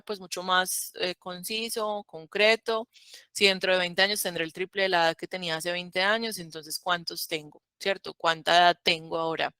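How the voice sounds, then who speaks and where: Woman teaching math online, 30s, bright clear voice, pitch a bit low, keeps asking "right?". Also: no room sound. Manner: conversational, checks with "¿cierto?". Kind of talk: online class lecture.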